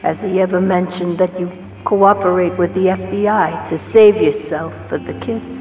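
Lady doing a calm low-voiced male shrink in a radio play, gentle questions, echoey muffled sound with long reverb and loud music behind. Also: normal speed, natural voice. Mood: gently probing. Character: calm middle-aged male prison psychiatrist. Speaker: psychiatrist.

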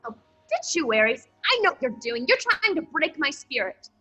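A woman's voice: sits high, sing-song, moderate pace, pushed and bright, exaggerated voice. A woman playing a bossy, impatient, fiery young woman reporter in a 1940s radio comedy. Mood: exasperated, demanding.

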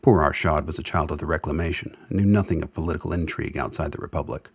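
A man giving dramatic scripted narration for a radio play in a husky voice. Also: rumbling low, fast talker, no echo.

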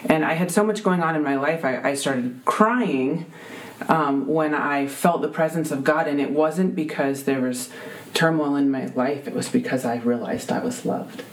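A woman with a deep voice, telling a heartfelt faith testimony as a guest, full of ums and restarts. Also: moderate pace. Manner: earnest, many fillers and restarts.

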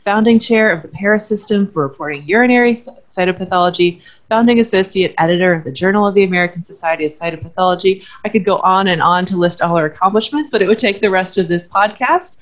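A woman's voice: mid-range voice, quick pace. Enthusiastic female podcast host. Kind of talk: podcast interview. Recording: dull lo-fi audio with faint music.

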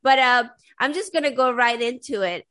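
Young woman hosting an interview, kinda high voice, super chatty, lots of "like". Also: normal speed, bright, forceful tone. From Sweden. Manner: chatty, many "like" and "you know" fillers. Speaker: podcast host. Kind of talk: casual interview chat.

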